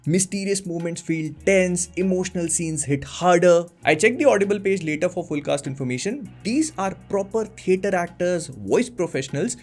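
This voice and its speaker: A man's voice: high-pitched, animated, normal speed, few pauses, soft background music. A young man hosting a vlog, eagerly recommending something in an emphatic storytelling way. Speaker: channel host. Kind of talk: sponsored recommendation monologue.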